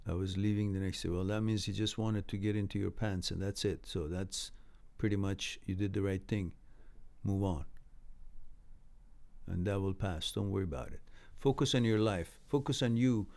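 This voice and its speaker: A man's voice: deep voice, fairly even intonation, moderate pace, a little mellow. Laughing man reading viewer comments. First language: Farsi. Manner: laughs often between sentences; blunt, breezy advice. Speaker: live stream host answering viewer comments. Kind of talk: casual live chat, reading comments aloud and giving advice.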